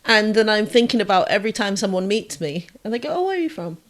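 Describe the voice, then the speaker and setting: Woman w/ southern english accent, podcast chat, thinks out loud, restarts a lot. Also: mid-range voice, very animated, fast. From Jamaica, raised in southern England. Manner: thinks aloud with restarts and small laughs. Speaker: podcast co-host. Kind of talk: casual conversational chat.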